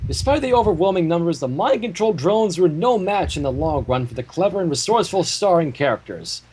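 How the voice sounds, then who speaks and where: A man reading a story aloud as narrator, in a dramatic, expressive storytelling manner. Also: sits high, a little fast, background noise. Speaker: fan fiction reader and narrator. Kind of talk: animated scripted story narration.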